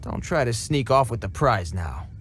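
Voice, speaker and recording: An actor playing a gruff, cocky bandit leader in a video game cutscene, tough-talking and sneering with slangy speech. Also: male voice, mid-range voice, sing-song, moderate pace, a little hiss or hum, lightly acted. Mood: taunting.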